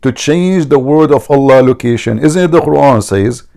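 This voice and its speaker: Man, gravelly dark voice, mocking debate host, emphatic and repetitive. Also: mid-range voice, lively intonation, moderate pace. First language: Arabic. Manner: mocking, emphatic, repeats himself. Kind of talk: argumentative religious debate.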